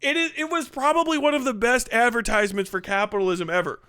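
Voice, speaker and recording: High, bright-voiced sarcastic streamer. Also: male voice, fast talker, smooth. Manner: profane, sarcastic, laughing. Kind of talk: casual live stream rant.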